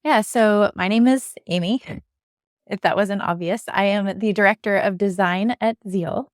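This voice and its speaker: A woman's voice: medium pitch, unhurried, no echo. Woman guest chatting casually, dry sound. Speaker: podcast guest, design director. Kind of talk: casual interview chat.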